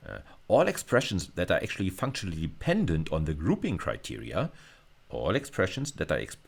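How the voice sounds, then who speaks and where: A man's voice: low-pitched, huge pitch swings, brisk, creaky, breathy edge. A man lecturing at university level in an informal screencast style, explaining hesitantly and often repeating and rephrasing himself.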